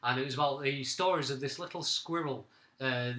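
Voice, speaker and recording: Man, around forty, podcast co-host, bright forceful voice, casual reminiscing, echoey room. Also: medium pitch, brisk. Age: late 30s to early 40s. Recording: roomy, echoing amateur recording.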